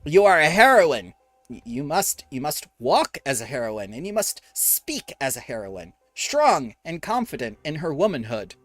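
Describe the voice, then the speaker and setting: Brief: flamboyant male stage director NPC, put on by the GM, theatrical, bright, dry close sound. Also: very animated, normal speed, no room sound, soft background music. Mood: commanding. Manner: theatrical, exclaiming, sweeping pitch. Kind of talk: tabletop roleplay NPC voice.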